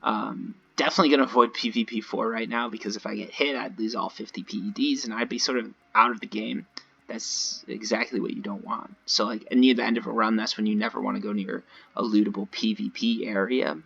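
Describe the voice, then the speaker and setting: A low-voiced, slightly hoarse man with a raspy edge chats through a gameplay video. He rambles conversationally and speaks straight to his viewers. The recording sounds a little dull and lo-fi. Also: steady tone, a little fast, a bit bright. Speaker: gaming video creator. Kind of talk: casual gameplay commentary. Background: voice-over recorded over gameplay.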